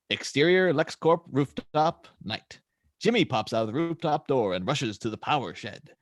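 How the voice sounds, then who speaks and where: Lively man reading stage directions. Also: mid-range voice, dry recording, studio quality. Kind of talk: scripted narration at an amateur table read.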